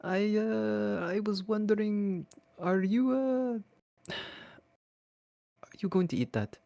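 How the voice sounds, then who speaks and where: A man voicing a gruff, awkward male ogre who talks haltingly and shyly. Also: sits high, mellow, no room sound, voice pushed up, full character voice. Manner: halting, shy, hesitant.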